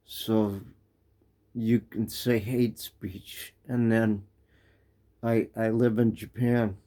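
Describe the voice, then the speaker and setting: An American man telling a personal story in a casual, halting way, full of restarts and fillers. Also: fairly low pitch, level tone, dark tone. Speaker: vlogger. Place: living in Japan.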